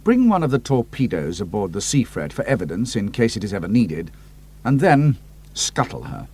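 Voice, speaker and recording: A man reading the lines of a brisk, commanding British pilot hero, with a crisp and decisive delivery. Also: on the low side, big pitch swings, a little mellow, full character voice.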